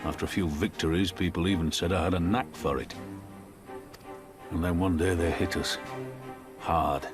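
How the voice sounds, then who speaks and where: A man's voice: sits low, fairly even intonation, moderate pace, pauses often, over music, natural voice. A man playing a weary, plainspoken veteran soldier in a video game cutscene, speaking wistfully. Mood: wistful, reflective.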